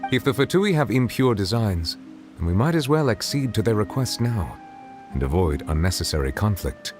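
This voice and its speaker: Deep, solemn dragon judge over music. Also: male voice, big pitch swings, a little mellow. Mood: resolute, formal. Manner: formal, measured, eloquent, reserved. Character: solemn, dignified water dragon serving as chief judge. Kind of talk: video game character dialogue. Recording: game dialogue over background music.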